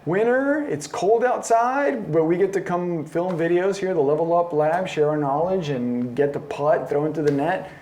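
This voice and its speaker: A man's voice: fairly high pitch, fairly even intonation, normal speed, few pauses, warm tone. A middle-aged man from Colombia chatting casually as a disc golf coach, with an enthusiastic manner. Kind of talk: casual chat.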